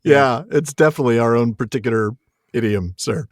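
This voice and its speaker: A man's voice: medium pitch, fairly quick, no room sound, clean studio sound. A man co-hosting a podcast in a casual, jokey way, moving between banter and sign-off. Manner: jokey, laughs often while talking. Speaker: podcast co-host.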